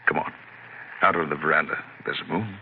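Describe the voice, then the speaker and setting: A man's voice: rumbling low, fairly even intonation, brisk, gravelly. An actor playing an affectionate, teasing male astronomy professor in a vintage radio drama, mock-pompous and playful. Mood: teasing, romantic. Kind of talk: 1970s radio drama acting. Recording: tinny old radio broadcast with hiss.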